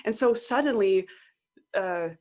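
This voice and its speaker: Woman podcast guest, medium pitch, talks casually and thinks out loud, slips into little imagined quotes. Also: a little slow. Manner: informal, thinking aloud, acts out imagined quotes. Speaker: podcast guest, online course creator and coach. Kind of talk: conversational interview.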